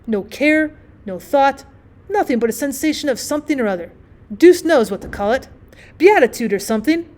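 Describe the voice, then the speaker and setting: A woman voicing a giddy, boastfully happy newlywed gentleman in an audiobook, tipsy, effusive and rambling. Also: sits high, expressive, normal speed. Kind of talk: acted audiobook storytelling.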